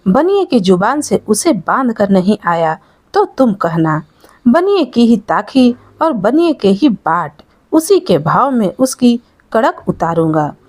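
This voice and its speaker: A woman's voice: a little high, big pitch swings, slow, a little mellow, heavily acted. A woman storyteller voicing a clever, defiant young peasant man, determined and vengeful, in a moral tale.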